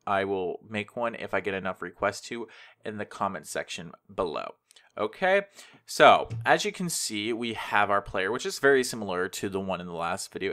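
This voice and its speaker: A man's voice: lowish voice, pushed and bright. A man hosting a screencast coding tutorial, explaining things in an informal, conversational way. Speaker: tutorial host, web developer.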